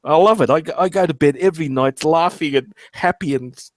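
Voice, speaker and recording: A man's voice: a little high, a little fast, no echo. A man in his late forties with an Australian accent, chatting bluntly and stammering, with frequent swearing, on a video call. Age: 40s. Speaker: host. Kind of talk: casual chat.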